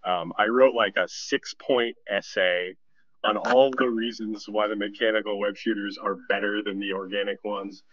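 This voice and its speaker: A man's voice: lowish voice, steady tone, normal speed, a bit bright, no room sound. A man being interviewed, casually telling a story about his work. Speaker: interview guest, animation professional. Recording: band-limited, dull audio. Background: faint music.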